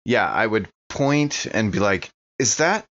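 A man's voice: on the low side, animated, unhurried, fairly bright tone. A man who is a player in a tabletop game, talking casually and describing his character's actions, on a somewhat lo-fi microphone.

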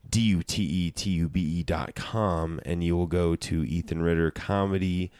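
Deep-voiced tipsy man hosting a podcast. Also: steady tone, normal speed, a little mellow, smooth, dry recording, studio quality. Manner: loose, joking, swears casually. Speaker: podcast host. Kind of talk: casual comedy podcast banter.

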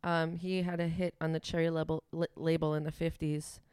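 A young woman with a Wisconsin accent talks in a chatty, easygoing way, with lots of "like" and "um". Her voice sits a little low and sounds smooth and clear. It is recorded close and dry, with no room sound. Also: level tone, brisk, darkish tone, dry recording. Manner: chatty, frequent "like" and "um", laughs easily. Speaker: musician guest. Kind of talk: casual interview chat.

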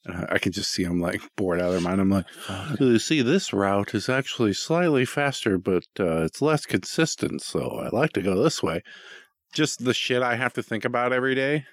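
A man's voice: lowish voice, lively intonation, moderate pace, no echo, studio quality. A middle-aged American man chatting casually as a podcast co-host, rambling and self-deprecating, with some easy swearing. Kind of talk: casual chat.

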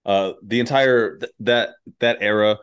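A man's voice: on the low side, fairly even intonation, a little slow, smooth. A middle-aged American man in casual conversation, talking bluntly with plenty of slang and swearing. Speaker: podcast conversationalist. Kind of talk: casual chat.

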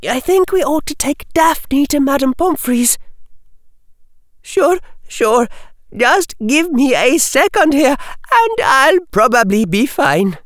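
High-pitched, expressive schoolgirl witch acted by a woman. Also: slow pace, no echo, clean studio sound, exaggerated voice. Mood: shaken, polite. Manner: exclamatory, expressive, youthful. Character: young witch schoolgirls, earnest, excitable, bickering. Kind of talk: audiobook-style character dialogue.

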